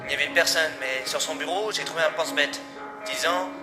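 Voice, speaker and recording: A man's voice: on the high side, a little fast, music in the background, natural voice. A man voicing a worried young woman. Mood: hesitant. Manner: hesitant, polite. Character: worried young woman searching for her uncle.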